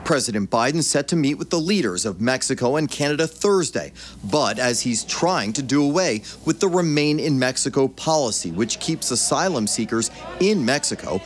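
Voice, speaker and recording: A man's voice: medium pitch, lively intonation, moderate pace. An American man reporting the news as a broadcast correspondent.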